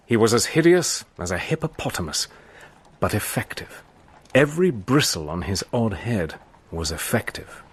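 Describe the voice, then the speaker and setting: An actor narrating in the first person and playing a cool, persuasive British agent in a radio drama, calm and measured. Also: male voice, fairly low pitch, lightly acted. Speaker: narrator and lead character.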